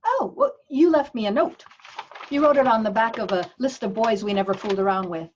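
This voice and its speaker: A woman's voice: on the high side, lively intonation, fairly quick, a little hiss or hum, lightly acted. A woman playing a naive, sheltered, wistful nun in an earnest comedic table read. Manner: innocent, earnest, easily scandalized. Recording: dull, lo-fi video call audio.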